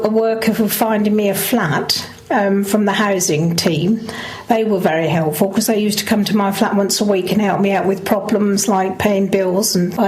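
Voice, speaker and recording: A woman's voice: mid-range voice, darkish tone, low-quality recording. A middle-aged or older woman with an English accent, answering interview questions hesitantly and repeating words. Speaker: mental health service user.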